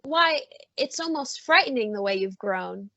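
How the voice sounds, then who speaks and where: A woman acting a doting, fussing mother in an old-time radio suspense play, with a gently chiding way of talking. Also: mid-range voice, lively intonation, unhurried, vocal fry, dry recording, exaggerated voice. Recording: slightly dull, muffled audio.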